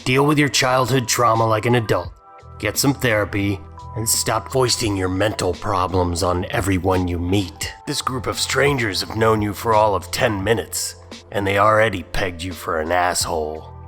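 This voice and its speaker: A man with a fairly low voice gives mocking commentary. His delivery is scornful and sardonic, and music plays softly behind him. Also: normal speed.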